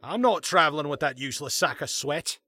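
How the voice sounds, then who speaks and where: A man's voice: a little high, animated, fairly quick, pushed and bright, no room sound. Man playing smug political aide, sleazy and snooty, audio play, dry sound. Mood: disdainful. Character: smug, sleazy, self-absorbed male political staffer. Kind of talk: audio comedy drama acting.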